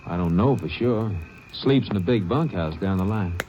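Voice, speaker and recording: A man's voice: low-pitched, lively intonation, moderate pace, lo-fi sound, slight background noise. An actor playing an easygoing sawmill foreman in an old radio drama, with a folksy drawl. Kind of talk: 1950s radio drama acting.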